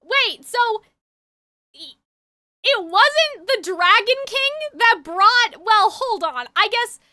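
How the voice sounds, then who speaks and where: A woman's voice: extremely high pitch, animated, slow pace, sharp tone, no room sound, studio quality. A woman game streamer chatting casually with her viewers and thinking aloud as she reacts to a story.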